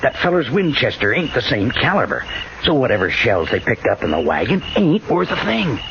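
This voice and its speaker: A drawling frontier sidekick. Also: male voice, medium pitch, sing-song. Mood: wary, drawling. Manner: slow western drawl, rough frontier slang. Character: wounded, folksy Old West frontiersman sidekick. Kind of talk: 1950s radio drama acting. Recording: hissy, muffled old radio broadcast.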